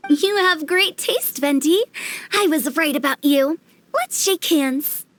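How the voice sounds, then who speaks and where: Very high, chirpy young hostess. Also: female voice, takes it slowly, fairly bright tone, a little hiss or hum. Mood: pleased. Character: perky, eccentric, confident young woman host. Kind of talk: video game dub dialogue.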